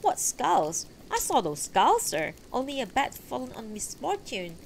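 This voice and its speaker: A woman's voice: a little high, sing-song, unhurried, a little hiss or hum, voice pushed up, rough recording. A woman voicing a proud, blustering old male knight in a fantasy story, formal and indignant. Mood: defensive, indignant.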